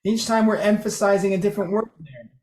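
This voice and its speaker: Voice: medium pitch, quick pace, a little mellow. A presenter at a club meeting explaining a code demo in a loose, casual way, often restarting sentences. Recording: roomy echo.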